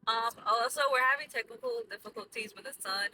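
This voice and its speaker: A woman co-hosts a casual podcast from a car, teasing her brother in a dry, low-key way. She sits away from the mic, and a clear rumble of road noise runs behind her voice. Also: brisk, bright, forceful tone, distant mic, rough recording. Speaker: podcast co-host.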